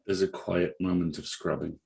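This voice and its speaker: A man's voice: sits low, level tone, normal speed, gravelly, darkish tone. A man reading dramatic scripted narration and stage directions as the narrator of a script read-through.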